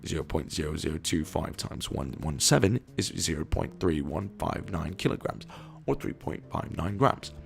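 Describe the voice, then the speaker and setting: A man's voice: very deep voice, expressive, normal speed, vocal fry. A young man reading internet posts aloud in a mock-serious way, with a foul-mouthed streak. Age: young adult. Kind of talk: dramatic mock-serious reading and rambling commentary.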